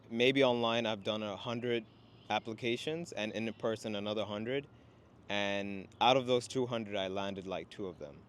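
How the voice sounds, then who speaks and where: A man's voice: fairly low pitch, slight background noise. A young man from Sri Lanka, raised in Qatar, talking casually as a student guest about finding work, with lots of "you know". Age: young adult. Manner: relaxed, many fillers like "you know". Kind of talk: casual conversation. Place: outdoors in a city, traffic nearby.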